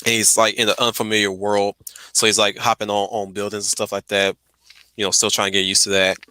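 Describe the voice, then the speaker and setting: A man's voice: on the low side, little pitch movement, a little fast, bright, forceful tone, dry recording. A man chatting casually as a co-host on an online stream call. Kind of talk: casual chat.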